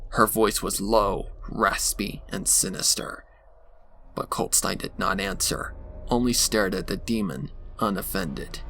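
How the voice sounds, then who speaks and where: Gravelly low-voiced man narrating horror. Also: a little slow, bright, forceful tone. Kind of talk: dramatic scripted horror story narration. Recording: faint background noise.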